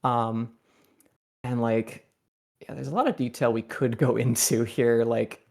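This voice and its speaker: Young guy rambling thru a song commentary, laughs a lot, mid pitch. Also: fairly even intonation, measured pace, creaky, a little mellow. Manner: rambling, self-deprecating, laughs often. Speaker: songwriter on a fandub commentary. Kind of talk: casual group commentary.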